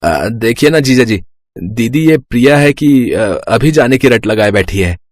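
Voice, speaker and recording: A chatty, easygoing young brother-in-law from a cartoon story, friendly in manner, as a man plays him. His voice is mid-pitched and dry, with no room around it. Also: lively intonation, unhurried, no echo. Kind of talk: cartoon voice acting.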